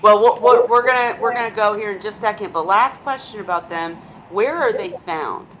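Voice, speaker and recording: A woman's voice: medium pitch, fairly quick, fairly bright tone, poor audio. Woman hosting a video call chat, chatty, restarts a lot, bit of background hiss. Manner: chatty, asks questions, repeats herself when starting. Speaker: host of a live video chat. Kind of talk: casual group chat.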